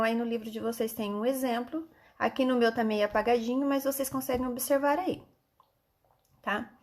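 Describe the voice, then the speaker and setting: A woman with a Brazilian accent, a schoolteacher explaining textbook exercises to children in an instructive way with frequent check-in questions. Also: on the high side.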